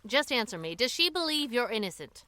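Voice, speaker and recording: A woman's voice: fairly high pitch, sing-song, brisk, pushed and bright. A woman acting in a radio drama as a feisty, plain-spoken woman who pleads earnestly and urgently. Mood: earnest persuasion.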